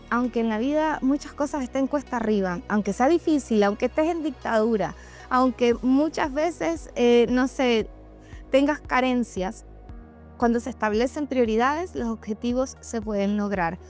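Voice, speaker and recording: A woman shares a reflective personal monologue, speaking candidly and straight to her listeners. Her voice sits a little high, and music plays faintly behind her. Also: moderate pace, darkish tone. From Venezuela. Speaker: host of a personal podcast or vlog. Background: background music.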